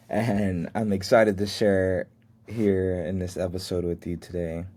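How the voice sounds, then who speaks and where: A man's voice: low-pitched, flat delivery, slow, dark tone. A man in his twenties, a podcast host whose first language was Haitian Creole, sharing life lessons in an earnest, faith-filled way and chuckling at himself now and then. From United States. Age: 20s. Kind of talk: solo reflective podcast talk.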